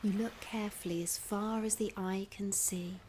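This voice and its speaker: Soft breathy lady reading a guided relaxation, slow pauses, soothing, bit of ambient noise behind her. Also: medium pitch, steady tone. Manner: soft, soothing, gently instructive; unhurried, with long pauses between phrases. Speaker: guided meditation narrator. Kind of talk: scripted guided imagery meditation. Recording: clear background ambience noise.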